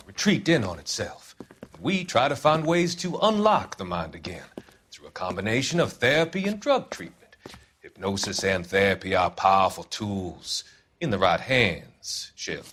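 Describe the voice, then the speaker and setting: An actor playing a calm, formal psychiatrist with quiet authority, speaking deliberately in a dramatic video game cutscene. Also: male voice, fairly low pitch, slight background noise. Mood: measured, authoritative. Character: smooth, measured psychiatrist, mature man of quiet authority. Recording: music behind the voice.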